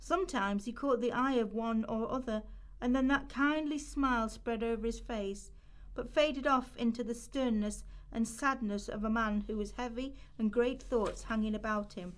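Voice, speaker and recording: Higher-pitched woman narrating a story. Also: normal speed. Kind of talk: scripted literary storytelling for a radio play.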